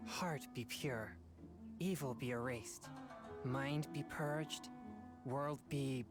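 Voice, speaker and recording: Low slow solemn anime game chanter, bit absent-minded, loud music. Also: female voice. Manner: measured, intoning.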